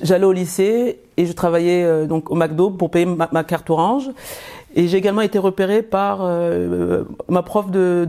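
A woman in her forties from Cameroon who stutters, telling her life story in a podcast with halting, repeated words. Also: fairly low pitch, dark tone. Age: 40s. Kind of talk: personal testimony podcast.

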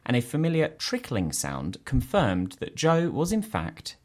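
A man hosting a podcast, reading a listener's letter aloud in an animated, quick storytelling way. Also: mid-range voice. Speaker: podcast host.

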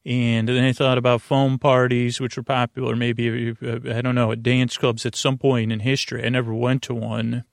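Man, creaky gravelly voice, podcast host, lulling bedtime ramble, halting and meandering, dry close sound. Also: medium pitch, level tone, no room sound. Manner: meandering, halting, lulling delivery with frequent digressions.

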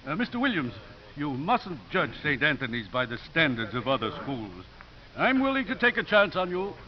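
Lightly pitched, mild, persistent priest. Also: male voice, big pitch swings, moderate pace. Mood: earnest, persistent. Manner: polite, mild, hesitant but determined. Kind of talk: 1950s radio drama acting. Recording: muffled, hissy old 1950s radio recording.

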